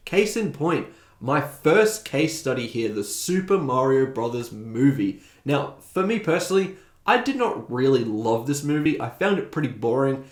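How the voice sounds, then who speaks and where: A man hosting a video essay, giving opinionated, conversational commentary. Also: mid-range voice. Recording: roomy, echoing voice recording.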